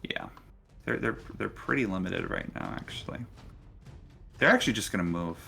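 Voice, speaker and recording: Low, bright-voiced man running a game. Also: expressive, normal speed, creaky. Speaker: game master. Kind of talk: narrating a tabletop role-playing game. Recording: faint background music.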